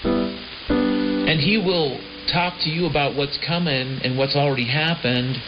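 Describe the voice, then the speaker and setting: A man's voice: a little high, a little slow. A man delivering a rambling, improvised comic monologue as a radio host, with a theatrical, mock-prophetic storytelling manner. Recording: dull lo-fi shortwave radio recording. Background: prominent piano and keyboard music.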